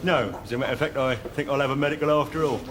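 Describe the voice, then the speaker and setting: An actor playing a grumbling, officious, self-pitying boss in a sitcom. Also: male voice, medium pitch, rapid-fire, a crowd in the background, lightly acted. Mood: bossy, irritated. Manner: officious, complaining. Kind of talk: broad sitcom acting.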